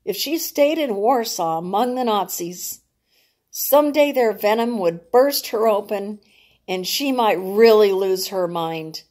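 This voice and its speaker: Slow-reading woman, dramatic storyteller. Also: medium pitch, darkish tone. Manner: deliberate, dramatic, with stumbles over words. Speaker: author reading her own novel. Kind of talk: dramatic audiobook narration.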